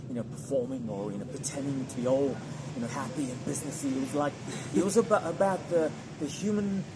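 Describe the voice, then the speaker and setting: A man's voice: mid-range voice, quick pace. A man from Nepal, a guest at an event, chatting casually with many fillers and restarts. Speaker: interview guest, event attendee. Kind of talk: casual interview chat. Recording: loud background noise.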